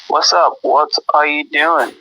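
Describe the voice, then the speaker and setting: A man's voice: fairly high pitch, steady tone, unhurried, bright, forceful tone, no room sound. A man speaking casually in a voice message to a friend, played back from a phone. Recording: lo-fi phone voice note playback.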